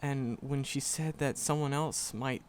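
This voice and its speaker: A shy, lovestruck magic professor acted by a man speaks in a slow, halting way at a medium male pitch. He stammers and hesitates, flustered and earnest, as a character in an intimate fantasy roleplay audio drama. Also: steady tone, a little hiss or hum, close to an everyday voice. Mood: bashful confession.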